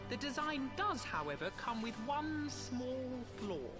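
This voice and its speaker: An eager, showy engineer from a fantasy game. The voice is high and swings widely in pitch, over clear music. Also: male voice, big pitch swings, a little slow, full character voice. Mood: sheepish. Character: eager, showy airship engineer proudly presenting inventions. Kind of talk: scripted game cutscene acting.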